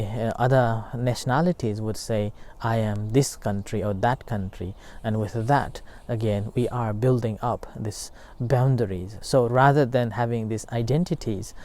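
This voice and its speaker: A middle-aged man speaks as a meditation teacher in a warm, mellow voice. His comes slowly, full of pauses and restarts, as he reflects aloud. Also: mid-range voice. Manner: halting, many fillers and restarts. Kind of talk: unscripted dharma talk.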